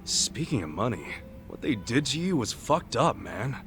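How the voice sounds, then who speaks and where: Earnest, lively ex-yakuza guy. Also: medium pitch, moderate pace, over music. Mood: concerned, curious. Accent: American. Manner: earnest, casual, slangy. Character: loyal, warm-hearted, earnest ex-yakuza man, streetwise. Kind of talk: English video game dub acting, conversational.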